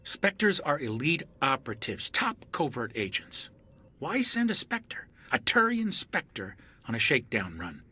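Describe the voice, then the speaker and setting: An actor playing a wary naval officer in a video game, voicing doubts about the mission. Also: male voice, medium pitch, sing-song, unhurried, sharp tone, breathy edge, full character voice. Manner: suspicious, questioning. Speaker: ship crewman.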